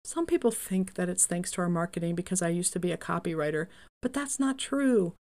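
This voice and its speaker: Woman voicing a modest older male founder, measured, low and gravelly. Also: sing-song, a little mellow.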